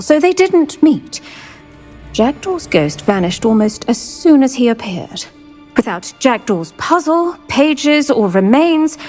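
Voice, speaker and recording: An actress playing an earnest, retired British investigator in a video game, measured and articulate in manner. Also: female voice, on the high side. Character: earnest, keen retired female investigator, older. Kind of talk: video game character acting.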